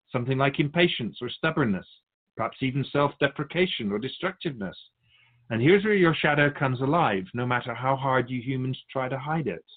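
A man voicing a wise, patient spirit keeper of souls who teaches in a measured, philosophical way. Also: medium pitch, phone-quality sound, lightly acted.

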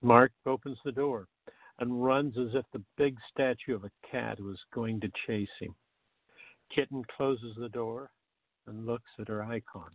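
A man's voice: mid-range voice, fairly even intonation, a little slow, dry recording. A man reading scripted narration for a radio play, on muffled, narrow-band audio.

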